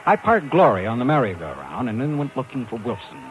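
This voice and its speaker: Man acting a lively, wry talent agent. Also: medium pitch, brisk, a little hiss or hum, lightly acted, poor audio. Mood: wry, reflective. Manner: wry, hard-boiled. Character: brash, persistent male New York talent agent. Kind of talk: 1950s radio drama acting with first-person narration. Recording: 1950s radio recording.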